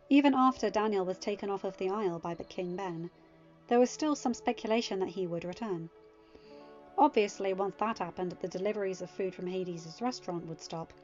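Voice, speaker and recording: A woman reading a fan story aloud as narrator, at a steady, even pace. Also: mid-range voice. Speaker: fanfiction audiobook reader. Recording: muffled audio with soft music.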